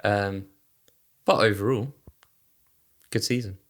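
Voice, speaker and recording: A man's voice: lowish voice, slow, a bit bright. A man co-hosting a podcast, chatting casually with lots of fillers and restarts. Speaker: podcast co-host. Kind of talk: casual chat about racing.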